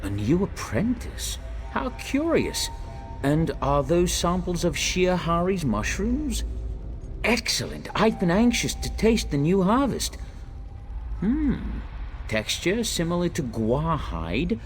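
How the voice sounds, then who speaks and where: A high-voiced, fussy male scholar from a fantasy game, played by a man, with hugely swooping intonation. He speaks in an unhurried, analytical way, full of curiosity. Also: huge pitch swings, soft background music, heavily acted. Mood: curious, appraising. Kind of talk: scripted video game dialogue.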